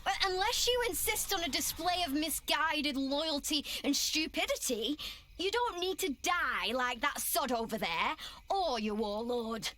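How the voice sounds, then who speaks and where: A woman's voice: high-pitched, lively intonation, measured pace, pushed and bright, exaggerated voice. A woman voicing a brash, sarcastic, playful young female mercenary in a video game cutscene. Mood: cocky, taunting.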